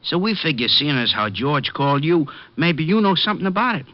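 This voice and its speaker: A man's voice: fairly high pitch, sing-song, fairly quick. An actor playing a wisecracking hoodlum with slangy tough-guy talk in an old radio drama. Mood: menacing. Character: wisecracking male hoodlum, easygoing killer. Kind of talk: 1940s radio acting. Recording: dull lo-fi old radio recording.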